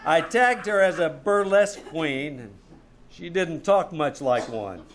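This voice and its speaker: A man's voice: fairly high pitch, expressive, a little slow, slight background noise. A man playing a wry, wisecracking private detective, dryly narrating and talking in an old-time radio comedy. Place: live small-town theater stage.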